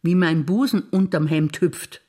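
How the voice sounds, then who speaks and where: An older woman, a German stage actress, speaking reflective memories in a measured theatre monologue. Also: fairly low pitch, dark tone. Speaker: stage actor.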